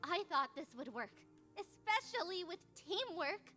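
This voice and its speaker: A woman's voice: very high-pitched, big pitch swings, measured pace, sharp tone, soft background music, pitched above the performer's own voice, full character voice. A woman voicing a hot-tempered, self-pitying young magical girl from a visual novel, dramatic and exasperated. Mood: frustrated. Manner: dramatic, sarcastic, exasperated outbursts. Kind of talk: visual novel character voice acting.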